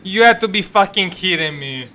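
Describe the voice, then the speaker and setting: A man giving casual, halting, sweary reaction commentary as he plays a video game, sounding exasperated. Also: sits high, lively intonation, sharp tone, slight background noise. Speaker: game streamer. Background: game music.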